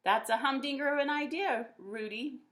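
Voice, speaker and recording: A high-voiced, cheerful storybook schoolteacher, played by a woman reading aloud. She is folksy and easily flustered, and exclaims with homespun emphasis. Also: normal speed, fairly bright tone. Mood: delighted.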